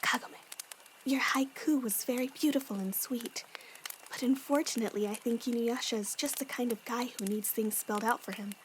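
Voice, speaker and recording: A woman plays a young female demon slayer with a high voice. She comes across kind, earnest and sisterly, with a romantic streak. It is fan drama acting with faint noise underneath. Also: fairly even intonation, moderate pace, natural voice, rough recording. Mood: gentle advising.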